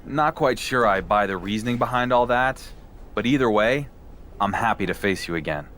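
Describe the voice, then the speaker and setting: A man's voice: on the low side, lively intonation, moderate pace, a bit bright, a little hiss or hum. A man playing a defiant, dry-witted underdog wrestler in a video game story scene. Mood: dry confidence. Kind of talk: video game cutscene acting.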